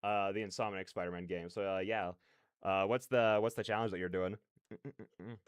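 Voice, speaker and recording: A man's voice: sits low, steady tone, normal speed, smooth, no echo. A man hosting a live stream in casual, rambling, self-deprecating chat, on a close dry mic. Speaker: streamer and host. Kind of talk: casual live-stream chat with chat replies and read-aloud game narration.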